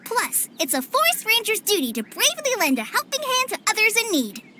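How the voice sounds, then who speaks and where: Earnest, high-voiced young forest ranger girl. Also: huge pitch swings, fairly quick, heavy background noise, exaggerated voice. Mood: earnest, hesitant. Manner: hesitant, earnest. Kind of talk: animated game cutscene acting.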